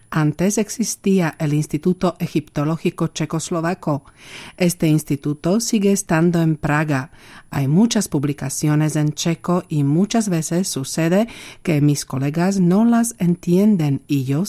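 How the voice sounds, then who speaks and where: A woman voicing a guest's answers as a read translation. Her voice is low and mellow, and her delivery is calm, even and explanatory. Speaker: voice-over interpreter for the guest.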